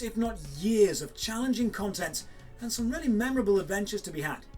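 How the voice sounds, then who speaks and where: A man in his thirties giving a scripted review to camera, talking in a measured, candid and direct way. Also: high-pitched, darkish tone. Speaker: video game reviewer. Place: indoors in front of a green screen. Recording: faint music under the voice.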